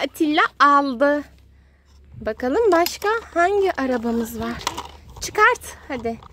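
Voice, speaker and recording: A woman's voice: sits high, sharp tone, slight background noise. A mother playing with toy cars outdoors and counting them for her small child, in a sing-song voice full of toy sound effects. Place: outdoors in a garden.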